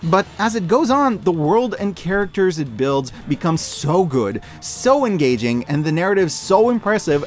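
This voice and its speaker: A man delivering an opinionated scripted review, emphatic and informal, given to hyperbole. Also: high voice. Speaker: video essayist reviewing media.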